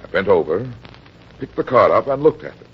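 Dull old radio recording, shrewd heavyset private eye, American, hard-boiled, husky, animated pitch. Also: male voice, fairly quick. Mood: narrating tersely. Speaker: actor playing detective and narrator. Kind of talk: old-time radio detective drama acting.

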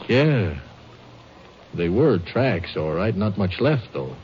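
A man's voice: fairly low pitch, very animated, slow pace. Folksy western lawman sidekick. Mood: curious. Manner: folksy, laconic, questioning. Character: folksy, easygoing male border lawman sidekick. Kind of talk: 1950s western radio drama acting. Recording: dull, faintly noisy old-time radio recording.

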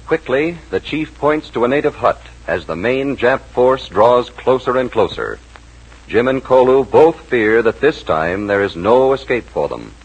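A man's voice: medium pitch, husky, poor audio. A man with an American accent, delivering dramatic, emphatic narration and sales copy as a 1940s radio announcer.

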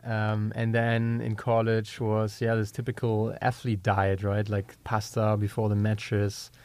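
Flat-voiced German man, halting. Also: lowish voice, dry recording. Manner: hesitant, many restarts and fillers. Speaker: guest, company co-founder. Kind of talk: casual interview talk.

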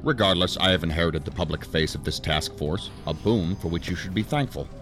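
An actor playing a stern, dry assistant director in a sci-fi audio drama, formal, measured and authoritative. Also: male voice, deep voice, music in the background, lightly acted. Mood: stern, controlled. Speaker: senior law-enforcement official.

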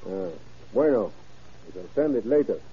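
An actor playing a cold, scheming, commanding Mexican general in old-time radio drama style. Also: male voice, fairly low pitch, animated, normal speed, slight background noise, close to an everyday voice. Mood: calculating, commanding. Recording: muffled old radio recording.

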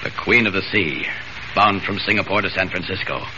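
Calm determined hero reporter, old radio serial, dull noisy recording. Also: male voice, low-pitched, moderate pace. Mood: resolute. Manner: steady, reassuring, resolute. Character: newspaper reporter who is secretly a caped superhero. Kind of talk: 1940s radio serial acting.

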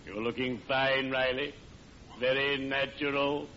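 Morbidly cheerful undertaker, slow stately phrasing, forceful bright tone, formal and solemn, vintage radio sitcom. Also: male voice, mid-range voice, level tone. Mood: courtly greeting. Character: gleefully morbid, courtly friendly neighborhood undertaker. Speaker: radio comedy actor. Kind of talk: old-time radio sitcom acting. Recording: muffled, noisy vintage radio with audience.

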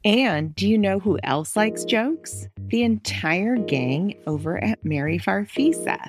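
A woman's voice: mid-range voice, lively intonation, slow pace, over music. A woman hosting and narrating a podcast for children in an encouraging, presenterly manner. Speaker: podcast host and storyteller.

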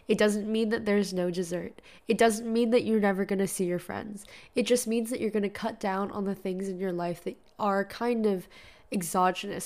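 A woman's voice: medium pitch, a little mellow. A young woman hosting a solo podcast, talking casually with a stuffy nose and sore throat, filling her talk with "like" and "you know". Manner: chatty, lots of "like" and "you know". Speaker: podcast host.

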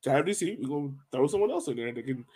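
A man's voice: mid-range voice, animated, fast talker, a little mellow, husky. A man hosting a casual sports discussion, speaking informally and conversationally. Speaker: podcast host.

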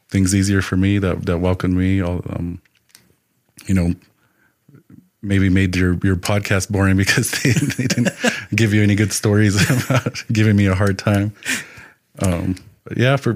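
A man who is a podcast guest, talking in a hesitant, halting way in casual chat in a studio. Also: deep voice. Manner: hesitant, halting, lots of restarts.

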